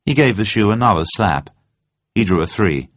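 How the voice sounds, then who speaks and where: Man, dramatic novel narration, low pitch, deliberate storytelling, slightly dull recording. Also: expressive. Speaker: audiobook narrator. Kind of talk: scripted dramatic novel reading. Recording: roomy, slightly dull sound.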